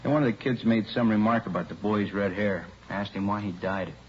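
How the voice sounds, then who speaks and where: An actor playing a practical American police lieutenant in a 1950s radio drama, casual and businesslike. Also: male voice, on the low side, fairly quick, natural voice. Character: plain-spoken, practical male police lieutenant. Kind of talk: 1950s radio drama acting, naturalistic underplayed dialogue. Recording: muffled, faintly noisy old radio recording.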